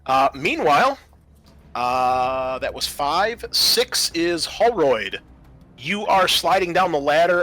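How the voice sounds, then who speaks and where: A man's voice: mid-range voice, sharp tone. A man acting as game master, narrating a tabletop game and explaining things conversationally.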